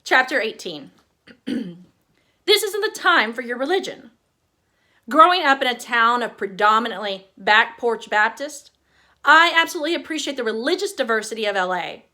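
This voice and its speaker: A woman from Texas reads her own memoir aloud, a subtle Texas accent under her words. Her voice sits a little high and rings bright and clear. She tells it with wry, humorous timing. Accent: slight Texas. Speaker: author.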